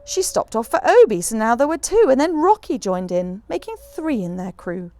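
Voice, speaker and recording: A woman reading a rhyming children's picture book aloud in an expressive, rhythmic storyteller's manner. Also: on the high side, moderate pace, dry recording. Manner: animated, rhythmic rhyming delivery.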